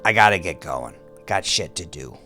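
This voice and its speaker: A cocky older mob fixer, acted by a male narrator, talks low over prominent music. He needles and pushes in street-tough slang, like a crime audiobook villain. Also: expressive, normal speed. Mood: casual. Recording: music behind the voice.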